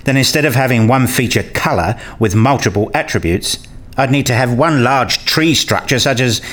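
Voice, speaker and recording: A man's voice: medium pitch, expressive, normal speed, fairly bright tone. Inquisitive, analytical hobbyist played by a man. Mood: curious, analytical. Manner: questioning, analytical, candid. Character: inquisitive male learner, keen hobbyist, quick to challenge. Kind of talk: radio play dialogue.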